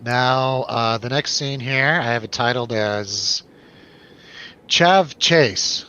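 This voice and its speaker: A man's voice: medium pitch, takes it slowly, sharp tone. A man hosting a casual film review show in a jokey, irreverent way. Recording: dull lo-fi, faint background noise.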